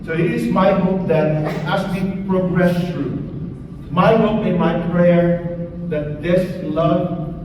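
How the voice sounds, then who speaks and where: Slow-preaching man in an echoey hall. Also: on the high side. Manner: slow, emphatic preaching with long pauses and falling endings. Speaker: pastor. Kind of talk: sermon. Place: church hall. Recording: echoey hall, voice from far off, faint noise.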